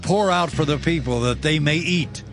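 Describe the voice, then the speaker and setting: A man's voice: on the high side, moderate pace, over music, lightly acted. An actor playing an authoritative, assured male prophet, speaking solemnly and declaiming in dramatized scripture. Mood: commanding, solemn. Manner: declamatory, solemn, archaic phrasing.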